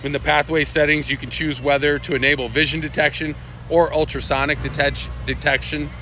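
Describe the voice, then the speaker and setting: A man's voice: on the high side, level tone, brisk, bright, forceful tone, a little hiss or hum. A man hosting a casual live walkthrough of product updates, talking loosely with lots of fillers and restarts. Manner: loose and rambling, with many fillers, restarts and asides. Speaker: livestream host presenting product updates.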